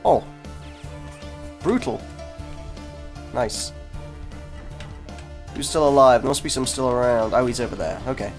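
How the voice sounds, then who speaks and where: A man with a congested, cold-stuffed voice rambles over his gameplay at an ordinary middle pitch. He talks casually and pokes fun at himself, often trailing off or restarting his sentences. Faint game music plays quietly behind his desk microphone. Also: a little slow, long pauses. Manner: chatty, self-deprecating, breaks off mid-sentence. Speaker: gaming video host.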